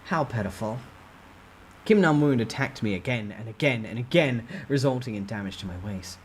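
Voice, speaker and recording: A man's voice: mid-range voice, fairly quick, slight background noise, poor audio. A man giving a dramatic first-person storytime reading of a novel. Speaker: narrator reading a novel aloud.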